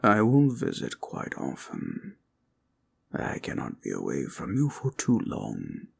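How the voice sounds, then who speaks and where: A man playing an ancient, gentle, fatherly red dragon in an audio roleplay, speaking tenderly in old-fashioned formal phrases. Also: wildly swooping pitch, slow pace, exaggerated voice. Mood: wistful, tender. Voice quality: deep, gravelly, husky voice.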